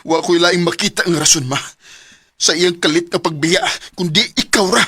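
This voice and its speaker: A man with a Cebuano Filipino accent acting an anguished young husband and father in a radio drama, with a husky voice. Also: sits high, moderate pace, lightly acted. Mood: accusing, hurt.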